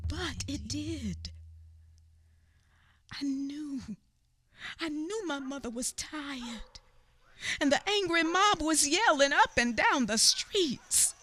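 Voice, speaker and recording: A woman's voice: sits high, fairly bright tone. A woman narrating a family memoir in a radio play, somber and often sinking to a whisper. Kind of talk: dramatic radio theatre narration.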